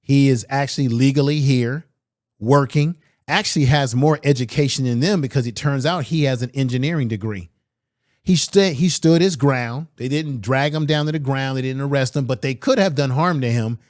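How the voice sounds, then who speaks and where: A man's voice: mid-range voice, normal speed, a little mellow, dry recording, clean studio sound. A man hosting political commentary, reacting bluntly and fierily to clips he plays, with slangy emphatic phrasing.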